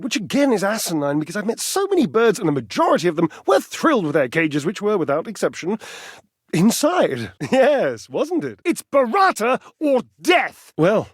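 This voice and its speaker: An actor playing a vain, witty, lovesick clothes dryer in a video game, with a theatrical, florid and coquettish delivery. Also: male voice, high-pitched, no echo, clean studio sound. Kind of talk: video game character acting.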